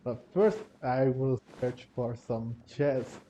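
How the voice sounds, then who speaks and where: Slow, very mellow man commenting on gameplay. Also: mid-range voice, dull audio, slight background noise. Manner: hesitant, musing aloud, repeats words. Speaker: gaming video host. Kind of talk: casual game playthrough commentary.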